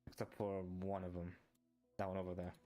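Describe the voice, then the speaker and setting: Male game streamer, lowish voice, casual and offhand, muttering to himself over clear game music. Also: monotone, fairly quick. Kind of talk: casual gameplay commentary.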